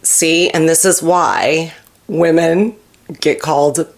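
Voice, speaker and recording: A woman's voice: low voice, fairly even intonation, takes it slowly, creaky. A woman co-hosting a podcast, chatting casually and candidly, swearing freely and jokingly acting out bits of dialogue. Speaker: podcast co-host. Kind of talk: casual comedic chat.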